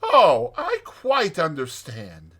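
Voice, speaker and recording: A man's voice: high-pitched, huge pitch swings, slow, a bit bright, breathy edge, pitched above the performer's own voice, exaggerated voice. A man voicing a hearty, good-natured, capable water rat in a dramatized children's storybook, with an emphatic, jaunty manner. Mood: soothing, explanatory.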